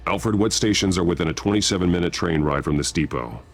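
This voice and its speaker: A man playing a grim masked vigilante detective in a video game, with a gravelly growl and a terse, stern, deliberate delivery. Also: deep voice. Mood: grim, focused.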